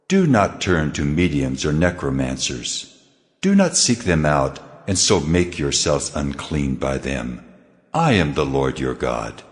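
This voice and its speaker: The booming Lord God. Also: male voice. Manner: slow, weighty proclamations. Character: God, the almighty Lord, stern and solemn. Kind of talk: dramatized scripture reading. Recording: long hall reverb over music.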